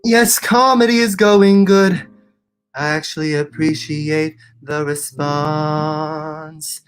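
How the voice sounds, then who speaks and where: A man's voice: on the high side, fairly even intonation, takes it slowly, smooth, soft background music. A young man performing comedy songs and patter in a theatrical, self-mocking way. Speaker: musical comedian. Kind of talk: comedy songs and stage patter for an online show.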